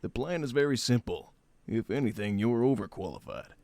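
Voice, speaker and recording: A man playing a calm, matter-of-fact medic in an audio drama, with measured, formal phrasing. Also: mid-range voice, gravelly, no echo. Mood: firm, apologetic.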